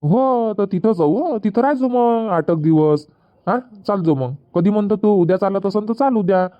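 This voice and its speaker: A man's voice: sits high, lively intonation, normal speed, dry recording, lo-fi sound. A man voicing a young husband in a comedy cartoon, explaining plans at length with a Varhadi Marathi accent. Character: young husband Suraj, easygoing son.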